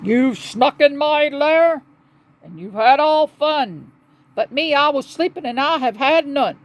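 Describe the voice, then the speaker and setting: Big sulky storybook bear, played by a woman, loud and lifted, complaining with swooping plaintive emphasis. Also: high-pitched, expressive, slow, voice pushed up. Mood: angry, wounded. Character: big grumpy self-pitying bear in storybook.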